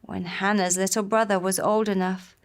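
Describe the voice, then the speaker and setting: A woman narrating a children's story in a gentle, deliberate, unhurried manner. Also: medium pitch, little pitch movement. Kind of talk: scripted children's story narration for a puppet show.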